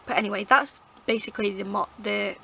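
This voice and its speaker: A woman with a croaky, hoarse throat, rambling chattily as she reviews her favourite books to camera. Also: mid-range voice, steady tone, a little fast, pushed and bright, slight background noise. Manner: rambling, chatty, lots of fillers and restarts. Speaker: book vlogger. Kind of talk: casual book review chat. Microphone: camera mic.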